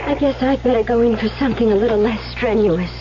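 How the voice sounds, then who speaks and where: A woman's voice: fairly high pitch, fast, close to an everyday voice. An actress playing a sweet, innocent young woman, eager and apologetic, in 1970s radio drama style. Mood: sheepish. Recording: muffled vintage radio recording.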